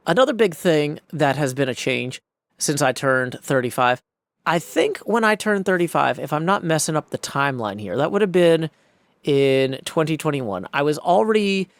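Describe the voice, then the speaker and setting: A man's voice: medium pitch, no echo. An American man of forty talking casually and reflectively in a personal vlog, with folksy phrasing and rambling asides. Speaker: video creator and host.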